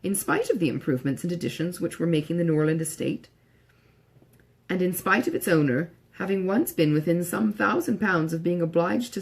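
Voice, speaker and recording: Husky woman reading a classic novel. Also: lowish voice. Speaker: audiobook reader. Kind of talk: scripted literary narration.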